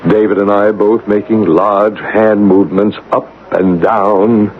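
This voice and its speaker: An actor playing an earnest, solemn psychic investigator, narrating and acting deliberately in a 1970s radio drama. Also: male voice, on the low side, flat delivery, close to an everyday voice. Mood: calm, assured. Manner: deliberate, dramatic, halting at tense moments. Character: earnest, solemn male psychic investigator telling his ghost story. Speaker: narrator and lead character. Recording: tinny, noisy vintage radio broadcast recording.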